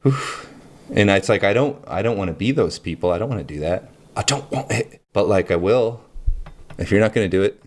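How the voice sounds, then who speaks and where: A man with a very deep voice talks in a loose, searching monologue, often pausing on fillers and breaking into quick laughs. He hosts his own show and thinks out loud as he goes. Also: lively intonation, a little hiss or hum. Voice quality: low voice. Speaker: channel host. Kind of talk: casual reflective monologue.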